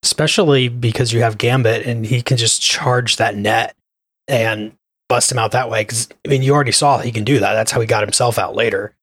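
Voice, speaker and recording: A man's voice: mid-range voice, steady tone, brisk, a bit bright, husky, dry recording. A man giving his opinions casually as a panelist on a review podcast. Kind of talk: casual chat, reviewing a cartoon.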